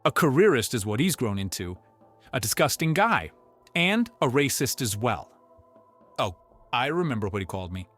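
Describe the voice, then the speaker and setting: A man's voice: mid-range voice, sing-song, moderate pace, pauses often, fairly bright tone, faint music behind, pitched above the performer's own voice. A man voicing a bitter, awkward man with old grudges, indignant and sardonic, in an audiobook drama. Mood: bitter, scornful.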